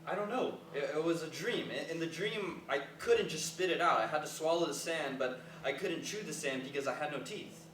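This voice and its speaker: An actor in a staged play reading, playing an earnest, hesitant, reflective young dropout in naturalistic dialogue. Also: male voice, fairly high pitch, fairly quick, few pauses, a bit bright, natural voice. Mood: troubled, recounting. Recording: echoey, distant, noisy live stage recording.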